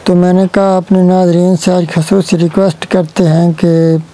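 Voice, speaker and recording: Young man, Pakistani Urdu accent, dark flat earnest vlogger voice. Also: high voice, slight background noise, poor audio. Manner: slow, earnest, pleading. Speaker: village vlogger. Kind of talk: direct-to-camera appeal. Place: outdoors in a sunny village courtyard. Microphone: lapel mic.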